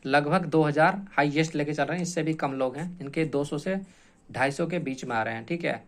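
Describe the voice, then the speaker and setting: A man's voice: on the high side, a little fast. A man explaining like an exam coach, informal and repetitive, stressing points and often adding check-in tag phrases. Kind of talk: informal explanatory lecture to students.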